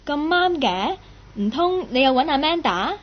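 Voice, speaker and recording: High-pitched curious young Cantonese woman. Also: sing-song, measured pace, muffled recording. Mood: bright, friendly. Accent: Hong Kong Cantonese. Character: curious, friendly young woman, part-time worker, nicknamed Meow. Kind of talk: radio drama acting with first-person narration.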